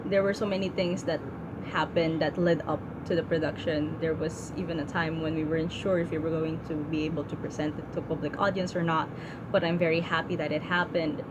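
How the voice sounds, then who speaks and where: A woman's voice: low voice, flat delivery, a little fast, dull audio. A young woman vlogging in a casual, heartfelt way, with plenty of ums and likes. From Philippines. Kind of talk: casual reflective vlog. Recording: noisy room with air conditioning hum.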